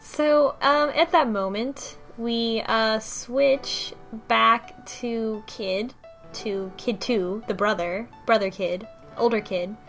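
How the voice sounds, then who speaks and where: A woman's voice: on the high side, steady tone, slow pace, fairly bright tone, soft background music. A woman podcast host casually recapping a story in a loose, conversational way, as if thinking aloud. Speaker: book club podcast host.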